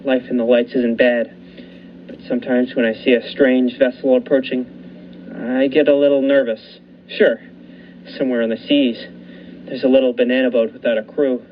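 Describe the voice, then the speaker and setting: A man playing a haunted lighthouse keeper who narrates a radio thriller with dramatic, deliberate pauses. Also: mid-range voice, little pitch movement, close to an everyday voice. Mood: uneasy. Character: haunted lighthouse keeper recounting his ordeal. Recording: muffled lo-fi recording with faint noise.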